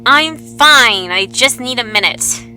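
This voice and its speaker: Hurt, bitter young woman, swinging high. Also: expressive, slow pace, bright, forceful tone, slight background noise, exaggerated voice. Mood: strained. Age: young adult. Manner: accusing, emotional, self-pitying. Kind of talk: audio drama acting. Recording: music behind the voice.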